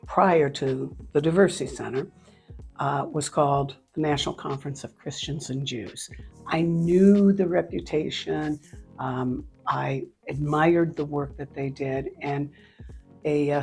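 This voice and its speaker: An older woman from Cleveland speaks low and unhurried, her voice husky with a gravelly edge. She tells her story in a relaxed interview, pausing often between thoughts. Faint music sits underneath. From Cleveland, Ohio. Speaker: interviewee, nonprofit leader. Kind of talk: casual interview conversation.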